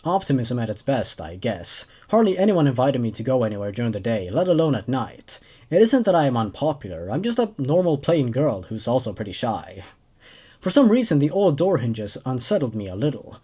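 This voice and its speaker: A young man narrating a story as host, reading expressively and in a measured way with dramatic pauses. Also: medium pitch, sing-song, warm tone, husky. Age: young adult. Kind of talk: story narration with casual commentary.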